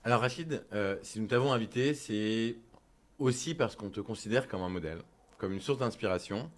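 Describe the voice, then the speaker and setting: A man's voice: fairly low pitch, normal speed. A man hosting an interview, putting prepared questions to his guest with frequent filler hesitations. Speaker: interview host.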